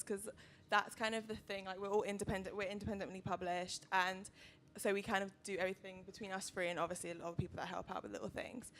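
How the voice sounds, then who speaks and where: A young woman, a student and co-founder of a magazine, speaking casually on a panel, filling her sentences with likes and kind ofs. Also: mid-range voice. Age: young adult. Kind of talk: panel discussion.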